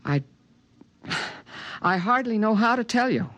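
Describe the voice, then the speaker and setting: An actor playing a fussy, anxiously protective alien creature, earnest and pedantic, in a 1950s radio drama. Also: male voice, high-pitched, lively intonation, measured pace, a bit bright, no echo, lo-fi sound. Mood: anxious, hurt. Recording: vintage 1950s radio, music underneath.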